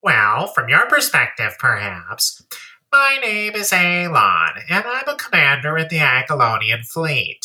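A man's voice: on the high side, huge pitch swings, measured pace, bright, forceful tone, dry recording, exaggerated voice, low-quality recording. A man playing a weary, blunt, grumbling alien fleet commander in an audio play, humorless and eager to retire. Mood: glum, befuddled.

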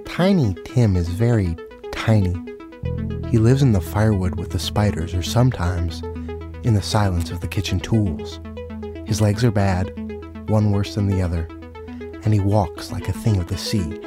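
A man's voice: lowish voice, normal speed, music in the background. A man narrating a scripted story dramatically as a storyteller.

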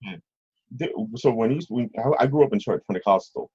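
A middle-aged man telling stories casually as an interview guest, husky, rambling and often checking in with the listener. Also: on the low side, brisk, dark tone. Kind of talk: casual conversational storytelling. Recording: slightly dull, lo-fi audio.

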